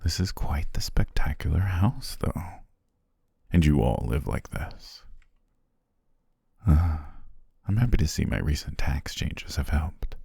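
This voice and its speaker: A man playing a courteous, self-amused demon king in an ASMR roleplay, speaking in a genteel, formal way with a husky, breathy voice. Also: animated, slow, long pauses, no echo, clean studio sound, heavily acted. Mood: playful, gracious. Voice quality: very deep, husky, gravelly, often breathy near whisper. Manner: leisurely, genteel, archly formal with soft chuckles.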